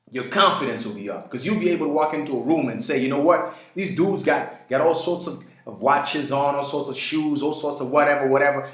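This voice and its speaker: Man, mid-pitched, preachy motivational talker, echoey room. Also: a bit bright. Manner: emphatic, preachy, repeats phrases. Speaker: self-improvement vlogger. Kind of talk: motivational monologue. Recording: roomy echo.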